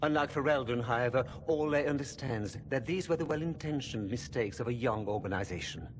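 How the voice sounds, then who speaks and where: An actor playing a haughty, condescending imperial diplomat in a video game, with formal, measured wording. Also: male voice, mid-range voice, natural voice. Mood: smoothly condescending. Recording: noisy game ambience.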